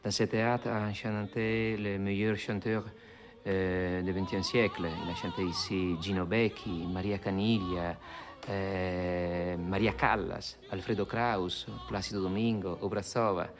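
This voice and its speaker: A man with a fairly low voice and an Italian accent explains a venue as if guiding a visit. His phrasing is halting, and faint music plays behind him. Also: flat delivery. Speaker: opera house representative, interviewee. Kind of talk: explanatory guided-tour talk.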